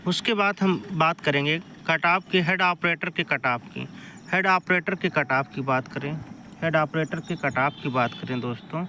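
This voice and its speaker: Male coaching presenter, slightly high voice, repetitive step-by-step explaining, background street noise. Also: moderate pace, bright, forceful tone. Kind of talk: informal explanatory exam analysis addressed to students.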